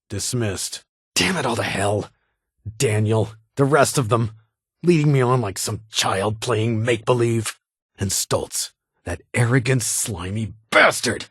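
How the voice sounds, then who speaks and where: An actor playing a stern, weary security chief in a video game, with a husky voice and a formal, clipped, authoritative manner. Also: male voice, lowish voice, no room sound, clean studio sound, full character voice. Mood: grave, guarded, then bitter anger. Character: stern, weary male vault security chief, guarded but honorable.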